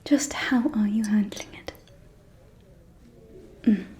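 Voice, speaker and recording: Woman playing a slow, whispering vampire socialite. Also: mid-range voice, fairly even intonation, dark tone, lightly acted. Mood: playful, coy. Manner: drawling, coy, theatrical. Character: gossipy, flamboyant vampire socialite lady, playful and coy. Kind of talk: whispered ASMR roleplay.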